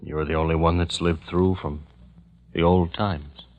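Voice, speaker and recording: An actor playing a grown, dutiful son in a 1940s radio drama, gentle, subdued and measured as he reassures. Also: deep voice, steady tone. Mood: somber, reflective. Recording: muffled, noisy old radio recording.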